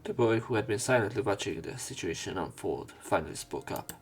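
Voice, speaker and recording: Gravelly, lowish-voiced man reading aloud. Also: lively intonation, soft background music. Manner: casual, halting read-aloud with asides. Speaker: let's player. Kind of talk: reading visual novel narration and dialogue aloud.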